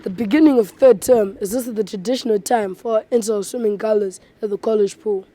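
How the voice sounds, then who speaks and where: A woman's voice: mid-range voice, brisk, warm tone. A woman presenting scripted school newsreel narration as a news reader.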